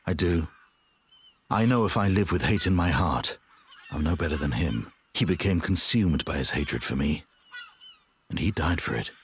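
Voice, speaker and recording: A man voicing a grave, honourable, regretful warrior in a video game, earnest and measured. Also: deep voice, expressive, slight background noise. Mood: reflective, earnest. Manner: earnest, measured, formal, brooding. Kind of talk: dramatic video game voice acting.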